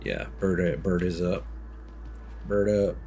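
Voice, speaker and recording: A man's voice: low-pitched, slow pace, gravelly, warm tone, faint music behind. A man streaming a video game and chatting casually as he plays, thinking aloud with fillers and small laughs. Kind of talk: casual live gameplay commentary.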